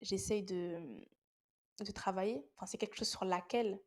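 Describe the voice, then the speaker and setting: A young woman hosts a faith podcast while she has a head cold. She speaks straight to her listeners in an earnest, encouraging tone, and her voice sits at an ordinary middle pitch. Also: steady tone, moderate pace. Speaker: podcast host. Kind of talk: casual faith talk podcast.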